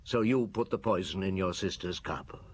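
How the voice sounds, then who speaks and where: An actor playing a shrewd, weary, middle-aged police detective in a radio drama, speaking in a measured, probing, dry and blunt way. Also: male voice, deep voice, slight background noise, lightly acted. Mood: grave, explaining.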